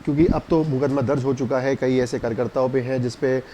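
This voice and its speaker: A man's voice: mid-range voice, steady tone, fast talker. A man asking questions as a news reporter in a field interview. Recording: clearly noisy background.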